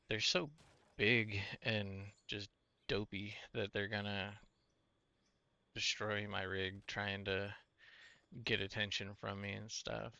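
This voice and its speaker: A man's voice: on the low side, pushed and bright, no room sound, highs cut off. A man from Illinois with a Midwestern accent, chatting loosely with viewers while streaming a game. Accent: Midwestern American. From Illinois, USA. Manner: rambling, loose, filler-heavy talk to chat. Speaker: gaming streamer. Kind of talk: casual live-stream chat while playing.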